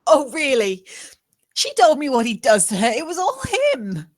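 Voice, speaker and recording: A woman's voice: high-pitched, very animated, moderate pace, no room sound, studio quality. An actress playing a defiant, threatening and distraught woman, speaking with challenging intensity in a screenplay table read. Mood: angry, distraught.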